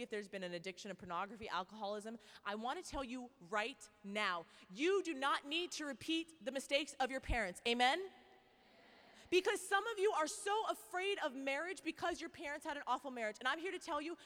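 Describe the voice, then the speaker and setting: A woman in her twenties gives a lively faith talk to a crowd and tells her own story with animated, playful asides. Her voice sits a touch high for a woman. A long hall reverb trails each phrase. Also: brisk. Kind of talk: faith talk to a live audience.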